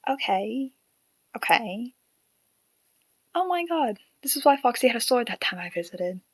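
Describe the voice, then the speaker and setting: A woman voicing a curious, dryly teasing haunted female marionette in an audio drama, with measured delivery. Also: a little high, sing-song, slightly rough, dry recording, full character voice. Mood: incredulous.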